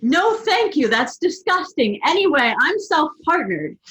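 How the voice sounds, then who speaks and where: A woman voicing a prim know-it-all teenage witch who sounds indignant and high-pitched. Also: sing-song, moderate pace, pushed and bright, heavily acted, rough recording.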